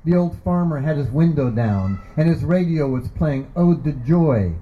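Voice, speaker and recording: A man giving a measured, deliberate reading of his own poetry. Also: fairly high pitch, expressive. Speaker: poet reading his work. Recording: muffled lo-fi recording.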